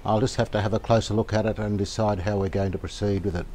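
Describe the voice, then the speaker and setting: An older man calmly talking through a restoration job outdoors, thinking aloud as he works. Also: on the low side, a little hiss or hum. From Australia. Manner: thinking aloud, calm and unhurried, plain-spoken with fillers. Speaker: radio restorer, channel host. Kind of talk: casual hands-on workshop narration. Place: outdoor verandah in the garden.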